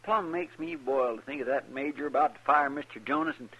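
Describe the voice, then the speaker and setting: An actor playing a loyal, anxious deputy sidekick in a radio western, with a rural American accent and a folksy, deferential manner. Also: male voice, mid-range voice, fairly quick, fairly bright tone, close to an everyday voice. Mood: earnest, uneasy. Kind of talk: 1950s radio western acting. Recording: muffled old radio with faint noise.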